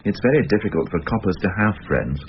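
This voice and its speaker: An actor playing a world-weary police detective in a radio drama, questioning gently and deliberately. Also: male voice, on the low side, big pitch swings. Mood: sympathetic. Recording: dull, hissy radio drama recording.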